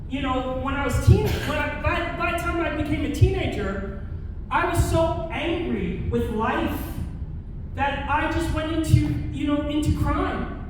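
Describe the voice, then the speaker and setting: High-voiced man in an echoey hall. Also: measured pace. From Calgary, Canada. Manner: engages the audience, tags lines with "right?"; restarts and repeats words often. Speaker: keynote speaker. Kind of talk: personal storytelling keynote. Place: large conference hall with an audience. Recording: echoey hall from far off, audience noise.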